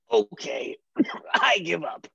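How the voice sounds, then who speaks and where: A performer voicing a manic, cackling male clown supervillain with a raspy, creaky voice in a table read of an animated film script. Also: medium pitch, no room sound, lo-fi sound, heavily acted. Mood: mocking surrender.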